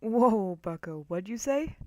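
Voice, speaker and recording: A woman puts on an easygoing male skeleton for a dramatic story reading. He talks in casual slang, joking yet protective like a big brother. The pitch sits in a middle female range, recorded dry and close. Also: animated, slow, warm tone, dry recording. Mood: incredulous. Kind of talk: dramatic fan-fiction reading.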